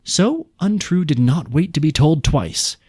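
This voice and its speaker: A man's voice: fairly high pitch, lively intonation, measured pace, darkish tone, breathy edge, dry recording, studio quality. A man reading a folk tale aloud as a storytelling podcast host.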